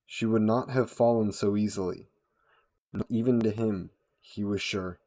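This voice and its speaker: A man voicing a brooding, solemn vampire who narrates a dark tale in a deliberate, dramatic storytelling style. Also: lowish voice, fairly even intonation. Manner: slow, deliberate, solemn delivery. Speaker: audio roleplay performer, storyteller.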